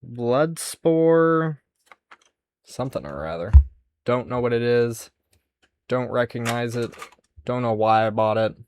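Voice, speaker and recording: A man's voice: medium pitch, slow pace, pauses often, slight background noise. A man hosting a casual, unscripted show-and-tell of his collection, rambling and musing aloud as he goes. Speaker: host showing a music cassette collection.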